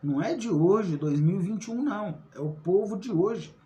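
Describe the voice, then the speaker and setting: A man's voice: sits high, animated, moderate pace, mellow. A man giving an informal Bible study, often asking rhetorical questions and checking in with his listeners. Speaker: online Bible teacher.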